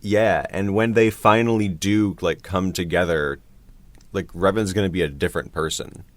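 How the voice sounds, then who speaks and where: A man chatting casually about his creative work as a composer, in a halting, hesitant way. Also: low voice, no room sound. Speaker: composer for an audio drama.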